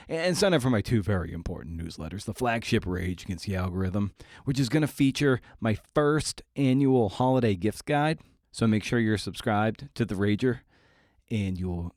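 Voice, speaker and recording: A man's voice: on the low side, darkish tone, no room sound, studio quality. A man hosting a podcast, delivering an informal intro monologue with emphatic phrasing and playful asides. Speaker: podcast host.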